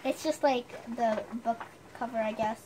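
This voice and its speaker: A woman's voice: a little high, normal speed, mellow, slight background noise, low-quality recording. A woman reading a storybook aloud with chatty, self-deprecating asides, stumbling over the odd word.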